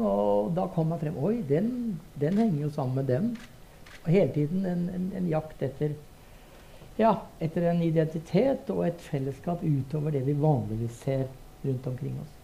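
An older man with a Norwegian accent talking unscripted on a theatre stage, halting as he searches for words. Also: a little high, vocal fry, mellow, a little hiss or hum, low-quality recording. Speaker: theatre director and co-writer. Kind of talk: unscripted onstage conversation.

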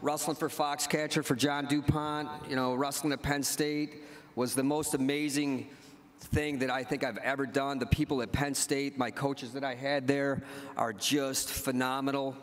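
A man's voice: medium pitch, flat delivery, normal speed. A middle-aged man speaks at a banquet podium in a big hall, his words trailing into a long reverb. He rambles through stories in a loose, joking way, stopping and restarting and playing out other people's lines. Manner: rambling storytelling with fillers and restarts, acting out quoted dialogue. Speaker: hall of fame inductee giving acceptance speech. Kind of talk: casual, joking acceptance speech. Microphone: podium microphone.

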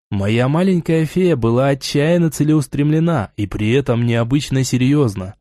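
A man narrating a fantasy novel in the first person, with measured, expressive storytelling. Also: medium pitch, no echo, studio quality. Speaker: audiobook narrator.

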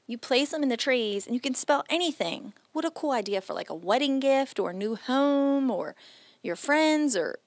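High-voiced, enthusiastic American woman presenting. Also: lively intonation, normal speed, dry recording. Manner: enthusiastic, chatty, exclamatory. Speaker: host of a local shopping show. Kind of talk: upbeat promotional presenting to camera. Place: small home decor shop, indoors. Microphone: handheld microphone.

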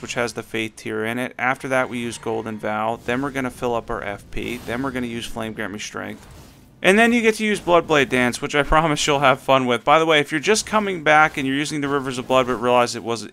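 A man with a hoarse voice from a cold, giving an upbeat commentary on a game build as a channel host. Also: medium pitch, fairly bright tone. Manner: upbeat, enthusiastic rundown.

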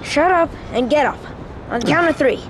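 A woman's voice: sits high, expressive, fairly quick, background noise. A woman playing a tough, bossy companion who urges a friend onward in an audio drama. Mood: urgent, bossy. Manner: urgent, commanding.

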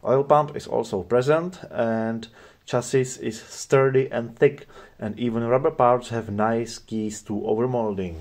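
Male review narrator, mid-pitched, dark relaxed tone, matter-of-fact scripted delivery. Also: unhurried. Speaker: product reviewer.

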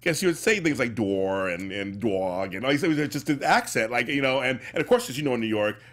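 A man's voice: mid-range voice, animated, a little fast, a bit bright. A middle-aged man hosting a studio interview, effusive and enthusiastic, speaking into a large mic. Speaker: host. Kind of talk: casual interview chat. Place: podcast studio. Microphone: large studio mic with headphones.

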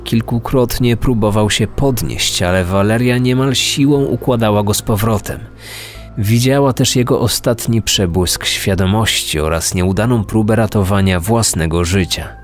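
A man's voice: lowish voice, normal speed. A man narrating a scripted true-crime podcast. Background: faint music.

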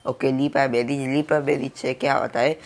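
A young man with a Hindi accent gives casual play-by-play commentary on a video game, his voice hoarse from a cold. He sounds gravelly and flat and drops "guys" between nearly every phrase. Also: medium pitch, normal speed. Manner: filler-heavy, keeps saying "guys". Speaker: gaming YouTuber.

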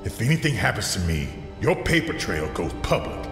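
Man acting out bitter male villain, low voice, swinging intonation, commanding, theatrical, audio drama. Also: sing-song, a little fast. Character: bitter, menacing male villain, a scarred former prosecutor. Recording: reverberant hall, music underneath.

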